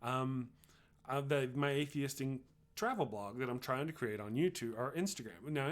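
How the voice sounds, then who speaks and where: A middle-aged man with a Texas accent, hosting a casual livestream and chatting loosely with viewers, rambling with frequent restarts. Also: mid-range voice. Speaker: livestream host. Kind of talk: casual livestream chat, reading viewer comments.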